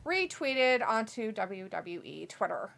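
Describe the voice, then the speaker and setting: A woman's voice: medium pitch, very animated, slow pace, gravelly, fairly bright tone. A woman co-hosting a wrestling watch-along, chatting casually, reading viewer comments aloud and often breaking into laughter.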